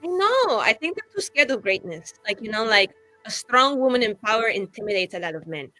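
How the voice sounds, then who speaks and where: A woman's voice: a little high, big pitch swings, fairly quick, sharp tone, no room sound, poor audio. A woman playing a brash, grandiose young female mage revolutionary in a tabletop game, theatrical and slangy. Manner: theatrical, self-important, casual slangy phrasing.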